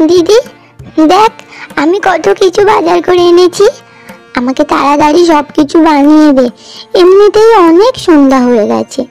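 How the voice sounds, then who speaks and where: A tiny, very high-pitched cartoon little girl from a poor village. She is eager and pleading in a childlike way, with music playing behind her. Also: lively intonation, normal speed, darkish tone. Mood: excited. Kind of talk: cartoon voice acting.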